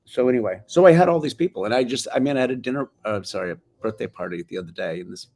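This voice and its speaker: Gravelly-voiced older American man, rambling. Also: mid-range voice, expressive, rapid-fire, darkish tone. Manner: rambling, restarts sentences often. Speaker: film director guest. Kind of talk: casual interview chat.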